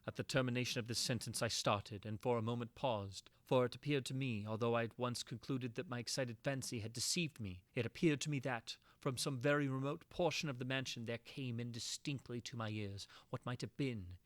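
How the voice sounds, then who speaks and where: A man reading a classic horror tale as a dramatic narration, in a formal, theatrical and measured manner. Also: medium pitch, dry recording. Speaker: reader of classic horror tales.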